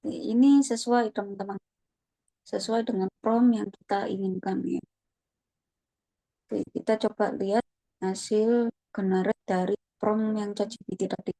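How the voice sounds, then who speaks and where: A woman presenting a casual online tutorial, relaxed and chatty, often thinking aloud with fillers. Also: mid-range voice, unhurried, pauses often, no echo, poor audio. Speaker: webinar presenter.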